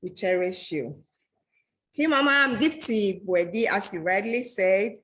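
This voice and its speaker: A woman's voice: mid-range voice, expressive, a little slow, fairly bright tone. A woman from Ghana, a guest speaking politely and formally over a video call. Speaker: award-winning guest.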